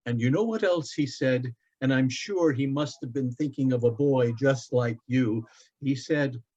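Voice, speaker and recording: A man reads as a gentle, wise father talking to his little boy in a storybook tale. He is unhurried, warm and reverent, heard through slightly dull audio. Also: medium pitch. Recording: dull, lo-fi online event audio.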